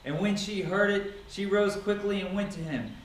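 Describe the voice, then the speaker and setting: A man with a fairly high voice reads scripture aloud as drama, stressing phrases theatrically. The recording is roomy and echoing, with audible background noise. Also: normal speed. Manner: theatrical, emphatic delivery. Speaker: Bible reader. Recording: echoey room, distant mic, noisy.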